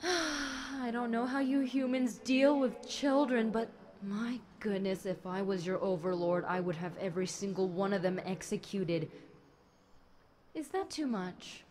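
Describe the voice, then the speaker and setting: A woman's voice: mid-range voice, a little mellow, close to an everyday voice. A woman playing a chatty, centuries-old fallen angel demon girl, talking wryly and conversationally to one listener in an audio roleplay. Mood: exasperated musing. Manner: conversational, wry, unhurried, dramatic musing. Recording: clear background noise.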